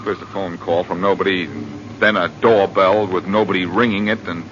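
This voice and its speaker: An actor playing an exasperated, wisecracking amateur detective in a 1940s radio drama. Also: male voice, deep voice, steady tone, moderate pace, fairly bright tone. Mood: bewildered. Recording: muffled, very noisy 1940s radio recording.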